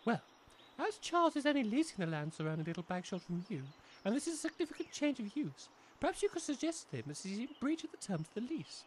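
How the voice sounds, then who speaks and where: A woman's voice: a little high, very animated, fast, a little hiss or hum. A woman playing an earnest, polite and wordy new-age village schoolmistress with an English accent in a comic audio drama.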